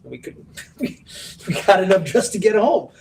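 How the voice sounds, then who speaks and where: A man's voice: sits high, big pitch swings, normal speed, gravelly, a little mellow, distant mic, low-quality recording. A man who grew up in Bulgaria, co-hosting a casual trading show and telling a personal story in an earnest way. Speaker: co-host. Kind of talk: casual chat.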